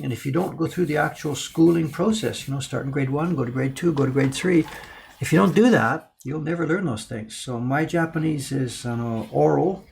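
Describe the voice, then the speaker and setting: A man's voice: medium pitch, vocal fry, a little hiss or hum. A man from an older generation, chatting casually on a live stream as he prints by hand and answers viewers' questions. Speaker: woodblock printmaker streaming his work. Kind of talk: casual live-stream chat while working.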